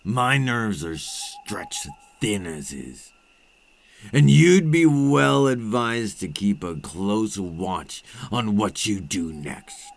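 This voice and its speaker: A man acting as a cold, possessive vampire captor in an ASMR roleplay, speaking with deliberate, condescending menace and dropping into breathy murmurs. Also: lowish voice, animated, exaggerated voice. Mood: angry, warning.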